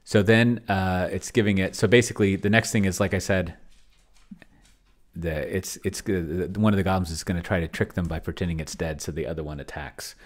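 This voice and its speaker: A man's voice: sits low, a little fast. A middle-aged man giving casual think-aloud commentary on a screen share, rambling and muttering to himself as he reads and types. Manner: rambling, muttering to himself while reading and typing. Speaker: host, solo roleplayer testing an AI dungeon master. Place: indoor home room with shelves.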